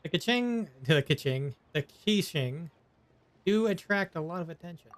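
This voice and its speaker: A man's voice: a little high, lively intonation, moderate pace. A man streaming a game, reading the dialogue choices aloud and tossing in casual asides. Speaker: gaming streamer. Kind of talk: reading player choices aloud over gameplay.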